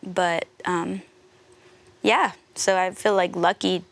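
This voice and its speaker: Young woman, guest singer, relaxed interview chat, lower voice, laughs easily, says "like" often. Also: slow pace, dry recording. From United States (San Francisco Bay Area). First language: French. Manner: chatty, laughs easily, lots of "like". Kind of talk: casual interview.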